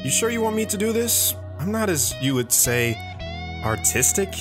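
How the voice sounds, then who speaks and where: A man's voice: high voice, big pitch swings, moderate pace, over music. A man playing an earnest, awkward teenage boy who tells his own story in an audio drama. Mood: unsure. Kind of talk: first-person dramatic narration with acted dialogue.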